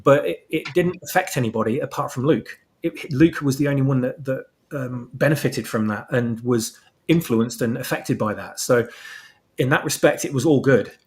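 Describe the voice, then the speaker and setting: A man's voice: medium pitch, moderate pace. A man in a casual discussion, explaining things at length as a guest author, often repeating words as he goes. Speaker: fan fiction author and podcast guest. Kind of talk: casual discussion of story lore.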